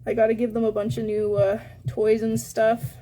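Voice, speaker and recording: A woman's voice: a little high, monotone, normal speed, dark tone, soft background music, low-quality recording. A young woman with a Canadian accent chatting in a casual live stream, full of fillers and coos. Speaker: hamster breeder streaming live.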